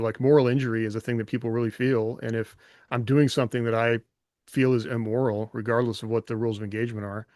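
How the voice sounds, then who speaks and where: A man talks rapidly and casually as a podcast host, working through ideas by posing questions. His voice sounds close and dry, with no room around it. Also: medium pitch, no echo. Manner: poses rhetorical questions. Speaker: podcast host, former soldier.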